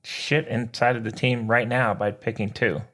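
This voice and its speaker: A man's voice: mid-range voice, little pitch movement, normal speed, fairly bright tone. A man co-hosting a sports podcast in casual banter, with an informal, exclamatory, slangy way of talking.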